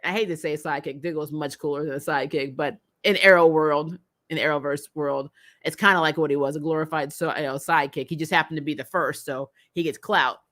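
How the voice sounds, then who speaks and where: A woman hosting a casual, opinionated TV recap, talking in an animated, exasperated way with swearing and frequent laughter. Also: low voice, a little fast. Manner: acts out imagined dialogue. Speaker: host of a TV recap show. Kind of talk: casual opinionated review commentary.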